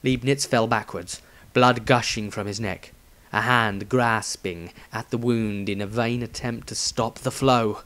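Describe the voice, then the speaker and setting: A man's voice: on the low side, fairly even intonation, a little slow, fairly bright tone. Man dramatically narrating a fantasy story. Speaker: author reading own fantasy story.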